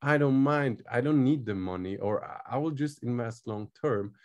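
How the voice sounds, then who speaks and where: Guy in a casual interview, soft mellow voice, english, kinda searching for his words. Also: medium pitch, lively intonation, normal speed. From Switzerland. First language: not English. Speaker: guest, crypto project team member.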